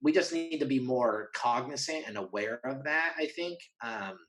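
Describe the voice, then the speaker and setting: A man with a medium-pitched voice talks as a guest in a relaxed conversation. He is candid and earnest, using informal phrasing and often restarting a thought to put it another way. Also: normal speed. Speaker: interview guest.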